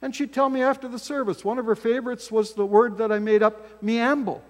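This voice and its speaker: A man's voice: extremely high pitch, fairly even intonation, brisk. An older male pastor preaching a folksy, self-deprecating sermon from the pulpit of a church sanctuary, filmed by a camera across the room. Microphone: fixed camera from across the church. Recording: long church reverb.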